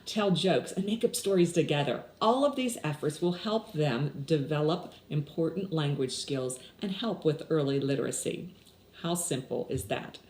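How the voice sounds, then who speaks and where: A woman's voice: low voice, animated, moderate pace, a little mellow. A woman in her sixties leading a children's story time, explaining simply and putting questions to young listeners. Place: small indoor room with window blinds. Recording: roomy echo with clear background noise.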